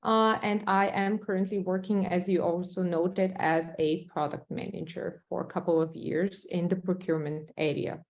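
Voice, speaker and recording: A woman's voice: lowish voice, fairly even intonation, moderate pace, hardly any pauses, highs cut off. A woman in her thirties from Bulgaria, speaking with a Bulgarian accent as she gives answers as an interview guest. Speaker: interviewee, product manager. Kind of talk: interview answers about career.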